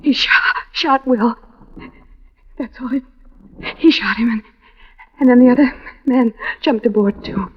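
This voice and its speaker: Breathy, shaken, frail woman survivor. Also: on the high side. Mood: distraught. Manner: halting, stammering. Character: frail, shocked, exhausted young wife, a survivor. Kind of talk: old-time radio drama acting. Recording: dull vintage radio recording.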